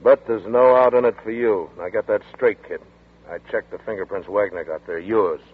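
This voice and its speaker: Blunt, weary detective on an old 1940s radio play, very deep and husky, tinny sound. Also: male voice, normal speed. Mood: gruff. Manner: blunt, gruff, matter-of-fact. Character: tough, weary detective friend.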